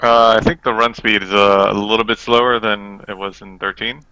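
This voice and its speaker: A man's voice: lowish voice, little pitch movement, normal speed, bright, forceful tone, clear, resonant tone, dry recording, rough recording. A man giving his opinions casually as a guest on a gaming podcast. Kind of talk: casual chat.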